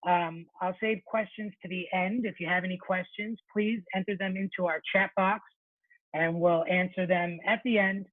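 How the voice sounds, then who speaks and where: A man's voice: sits high, normal speed, no room sound. Man on a thin, phone-like line. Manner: relaxed, chatty, corrects himself often. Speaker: teacher trainer presenting a webinar. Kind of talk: informal webinar presentation. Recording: thin phone-like webinar audio, dry.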